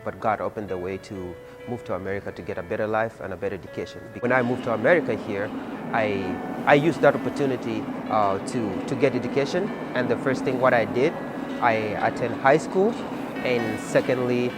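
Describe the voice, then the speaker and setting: A young man from Ethiopia, a student leader, telling his story earnestly with many fillers. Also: medium pitch. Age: young adult. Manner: earnest, halting with frequent fillers. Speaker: community college student leader. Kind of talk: personal interview testimony. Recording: music bed under the voice.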